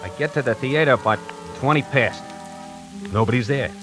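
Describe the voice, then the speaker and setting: A man's voice: mid-range voice, moderate pace, fairly bright tone, natural voice. An actor playing a brash salesman who narrates his own story in a 1940s radio drama, wisecracking and conversational. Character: brash fast-talking male salesman. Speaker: lead and narrator. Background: music score.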